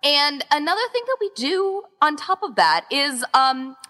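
Bright-voiced chatty woman in a reverberant space. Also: high-pitched, very animated, a little slow. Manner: chatty, rambling, quotes imagined remarks. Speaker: interviewed co-founder and organizer of a fan audio exchange. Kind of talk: casual interview chat. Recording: long echoing reverb.

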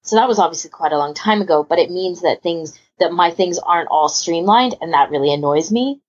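Female podcast panelist, low-voiced, rambling and emphatic in casual conversation, on a muffled lo-fi recording. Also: brisk, slightly rough. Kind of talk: casual chat about a hobby.

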